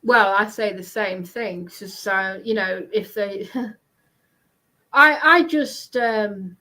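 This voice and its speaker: A woman chatting casually in a hesitant, halting way, often repeating herself. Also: mid-range voice, sharp tone, low-quality recording. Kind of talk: casual chat.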